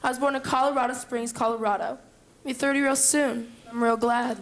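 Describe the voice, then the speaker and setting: A woman's voice: on the high side, steady tone, a little fast, lightly acted. A woman acting a frank dancer in her late twenties at a stage musical audition, with faint noise. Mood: dry. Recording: faintly noisy live stage recording.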